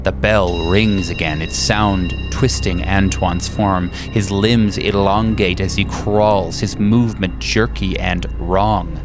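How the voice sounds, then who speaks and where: A man narrating a horror story as game master, in a deliberate, ominous manner. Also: sits low, steady tone, music in the background. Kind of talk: scripted horror narration in second person.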